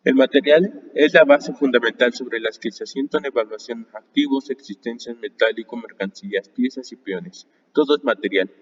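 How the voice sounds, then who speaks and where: A man reading a nonfiction book aloud in a live session, at a measured pace with the odd restart. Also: mid-range voice. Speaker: reader of a book. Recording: distant mic, long reverb, dull lo-fi sound.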